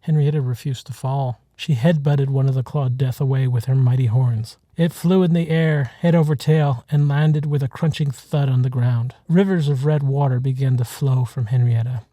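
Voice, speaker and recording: A man reading a short story as scripted narration, in a measured, dramatic manner. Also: mid-range voice, steady tone, warm tone, no room sound. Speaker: author reading his own short story.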